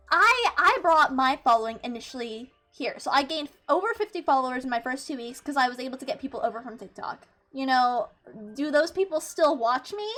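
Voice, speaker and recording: A young woman in her twenties talks on her livestream in a high-pitched, sharp voice. She rambles and swears freely, restarting her sentences often. Quiet music plays behind her. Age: 20s. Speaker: VTuber streamer. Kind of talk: casual livestream chat.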